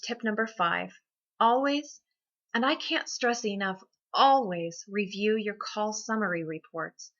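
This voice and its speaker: Woman host reading how-to business tips, bright crisp-edged voice, recording a bit dull and lo-fi. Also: mid-range voice. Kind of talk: scripted instructional narration.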